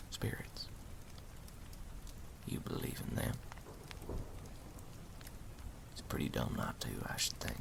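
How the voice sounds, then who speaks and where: A man playing a wry animal storyteller in a campfire ASMR roleplay, speaking slowly and ominously in a gravelly half-whisper. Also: a bass voice, heavily acted. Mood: wry, musing. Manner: slow, deliberate, folksy, ominous.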